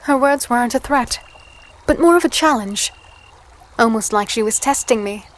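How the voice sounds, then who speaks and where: A woman playing a guarded, curious young human captive in a dark fantasy audio drama, hesitant, polite and introspective. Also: on the high side, normal speed, natural voice. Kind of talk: first-person audio drama narration and dialogue.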